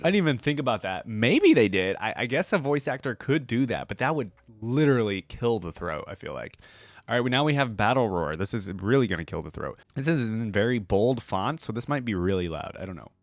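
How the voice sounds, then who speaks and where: A young man with a fairly low voice, recorded close and dry. He comments casually on what he hears, thinking aloud in informal, slangy language. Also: sing-song, brisk, no echo. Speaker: reaction video host.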